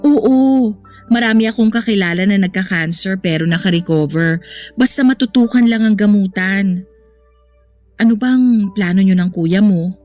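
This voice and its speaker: A woman's voice: mid-range voice, moderate pace, darkish tone, faint music behind, natural voice. An actress playing a caring, sensible young woman in a radio drama, speaking earnestly and reassuringly. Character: caring, sensible young woman consoling her troubled boyfriend.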